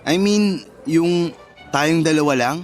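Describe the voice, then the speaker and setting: A man's voice: fairly high pitch, takes it slowly, soft background music. An actor playing a shy, modest man of twenty-one in a radio drama, casual and bashful. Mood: shy, amused. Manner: bashful, self-deprecating, casual. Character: shy, modest introverted young male office worker, twenty-one. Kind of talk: Tagalog radio drama dialogue.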